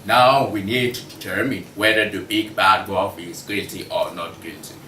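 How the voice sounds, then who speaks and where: A man's voice: fairly low pitch, steady tone, normal speed, sharp tone. A man playing a businesslike pig who presides over a jury in a student play reading. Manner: orderly, presiding. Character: businesslike pig jury foreman running the vote. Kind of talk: student table read of a comic play. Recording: echoey room, distant mic, faint noise.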